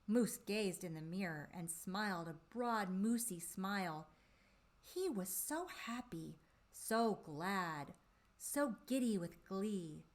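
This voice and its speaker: A woman reading a storybook aloud for young children, with deliberate, dramatic phrasing and drawn-out emphasis on key words. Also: mid-range voice, expressive. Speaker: children's storybook reader and presenter.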